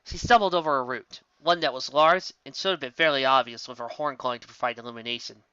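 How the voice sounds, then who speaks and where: A man narrating a fan fiction story in a steady reading manner. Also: mid-range voice, fast talker, clear, resonant tone, dry recording. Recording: dry, dull lo-fi home recording.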